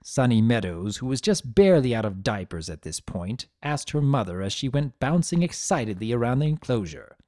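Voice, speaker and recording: An expressive narrator reads fiction with theatrical flair. The voice is soft-toned and mellow, moving widely in pitch, and recorded dry and close. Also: brisk, few pauses, no room sound. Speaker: narrator of a fan fiction reading. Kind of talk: dramatic scripted narration.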